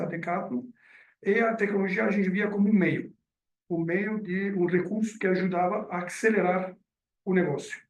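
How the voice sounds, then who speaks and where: A middle-aged man from Italy, with an accent mixing Italian and French, giving a hesitant business presentation with many fillers. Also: high voice. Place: meeting room. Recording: roomy sound from a distant mic.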